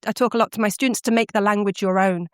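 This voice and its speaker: A woman's voice: medium pitch, steady tone, fast, no echo, studio quality. A middle-aged woman from the UK, a guest on a podcast, telling her stories animatedly and laughing often. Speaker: guest, language course founder. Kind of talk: podcast interview answers.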